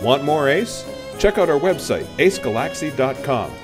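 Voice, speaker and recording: A man's voice: on the high side, animated, moderate pace. A man reading scripted closing credits as the announcer of an audio drama. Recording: music bed behind voice.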